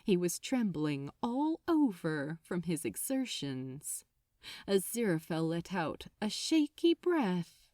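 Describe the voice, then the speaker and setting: A woman narrating a story from a script in a dramatic, expressive and deliberate way. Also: on the low side, no room sound, studio quality. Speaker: fan fiction narrator.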